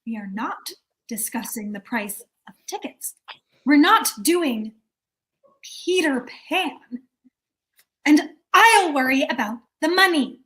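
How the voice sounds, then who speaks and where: A woman's voice: sits high, expressive, takes it slowly, pauses often, bright, forceful tone, full character voice, rough recording. A woman playing an exasperated, bossy chair of a small-town theater meeting, stern and flustered, in a staged reading. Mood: controlled impatience.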